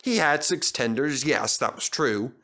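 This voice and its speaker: A man's voice: mid-range voice, animated, unhurried, pushed and bright. A man reading a children's story as narrator, in a scripted storytelling style. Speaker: narrator, reader.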